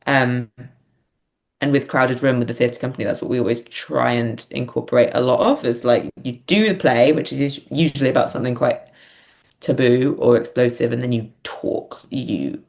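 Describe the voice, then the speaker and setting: A woman's voice: extremely low pitch, normal speed, gravelly, darkish tone. A woman reflecting as an interview guest, speaking hesitantly and often searching for words. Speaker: podcast guest, theatre writer and performer.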